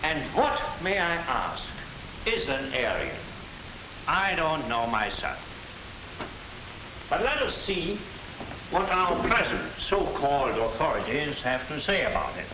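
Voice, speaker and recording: An actor playing a dignified, defiant professor giving a measured, formal lecture in a 1940s film style. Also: male voice, fairly high pitch, big pitch swings. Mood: wry, questioning. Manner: measured, deliberate, formal rhetorical delivery. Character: dignified, defiant male professor lecturing against Nazi race ideas. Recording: dull, hissy, echoey vintage film soundtrack.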